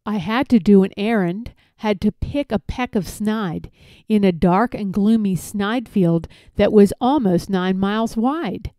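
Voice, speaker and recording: A woman reading rhyming children's stories aloud in an expressive, theatrical storytelling manner. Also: medium pitch, unhurried, no room sound. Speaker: storybook reader.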